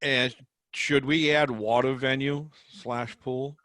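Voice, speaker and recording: A man in an informal committee call discussion, repeating words haltingly as he talks. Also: medium pitch, fairly even intonation, a bit bright, no room sound. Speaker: committee member. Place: conference call.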